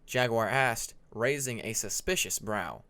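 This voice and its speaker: Animated man narrating fantasy fiction. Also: mid-range voice, a little slow. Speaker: audiobook narrator.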